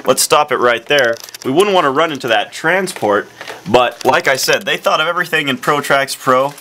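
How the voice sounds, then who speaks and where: A young man earnestly presenting a parody software demo, rambling with lots of fillers. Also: mid-range voice, animated, moderate pace, a little hiss or hum. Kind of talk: deadpan parody product demo.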